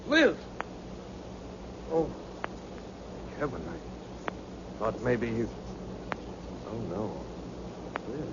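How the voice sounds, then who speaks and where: A man's voice: medium pitch, wildly swooping pitch, extremely slow, pauses often, lo-fi sound, heavily acted. Anxious man in noisy old radio drama. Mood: frantic, then dismayed. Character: anxious young male colleague, frantic then tenderly concerned. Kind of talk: 1960s radio drama acting.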